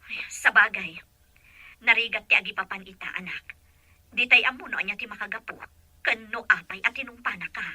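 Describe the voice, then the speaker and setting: A woman playing a gentle, worried mother of a grown son in an audio drama, heard as if on a telephone. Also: medium pitch, brisk, no room sound. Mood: gently reasoning. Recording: husky, sharp telephone-like sound.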